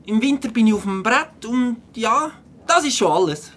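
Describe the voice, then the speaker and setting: A woman's voice: on the high side, expressive, moderate pace, fairly bright tone. A woman playing a friendly, laid-back new schoolboy in a Swiss German children's audio play. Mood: casual, introducing himself.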